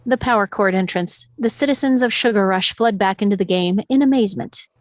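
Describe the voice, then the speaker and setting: A woman's voice: medium pitch, fairly quick, no echo. A woman reading stage directions as scripted narration at a table read, in a dramatic storytelling manner.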